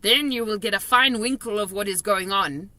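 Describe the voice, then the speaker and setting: A woman voicing a kindly, excitable old male giant from a children's storybook, earnest and emphatic, with muddled grammar and nonsense words. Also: mid-range voice, normal speed, no room sound, voice pushed up, natural voice. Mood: excited.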